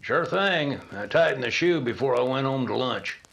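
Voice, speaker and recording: An actor playing a helpful, easily flustered village blacksmith who stammers a little in a radio drama. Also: male voice, mid-range voice, animated, moderate pace, gravelly, a bit bright. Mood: friendly. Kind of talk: old-time radio drama acting.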